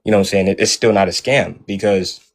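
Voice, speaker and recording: A nineteen-year-old man calling into a live stream, easygoing and quick, arguing his point. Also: lowish voice, fairly even intonation. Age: young adult. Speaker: caller.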